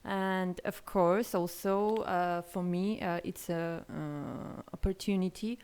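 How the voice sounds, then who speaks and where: A woman, a guest in a casual interview, speaking hesitantly with many fillers and pauses. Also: lowish voice, steady tone, darkish tone, clear, resonant tone. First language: Armenian.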